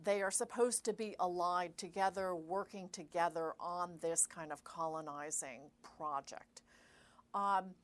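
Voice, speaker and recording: Measured woman historian explaining history. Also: on the low side. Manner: measured, thinks aloud with fillers and self-corrections. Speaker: historian guest. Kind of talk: explanatory interview answers.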